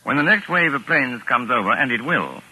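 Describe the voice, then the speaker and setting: An actor playing a wry, cool-headed doctor in a 1940s radio thriller, speaking in an urbane, calculating manner. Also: male voice, medium pitch, lively intonation, brisk, bright, forceful tone, husky, exaggerated voice, rough recording. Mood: calculating, persuasive. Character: wry, cool-headed male doctor held among gangsters. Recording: old 1940s radio broadcast recording.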